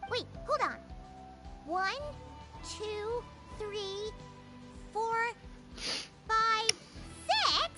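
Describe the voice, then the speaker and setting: A woman voicing a small, excitable fairy-like companion creature in a video game, exclamatory and incredulous. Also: very high voice, extremely slow, long pauses, heavily acted. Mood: curious. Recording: game music behind the voice.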